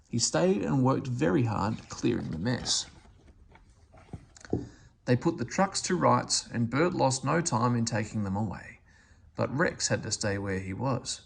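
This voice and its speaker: A man's voice: mid-range voice, gravelly, slight background noise. A man reading a children's story aloud as a scripted narration.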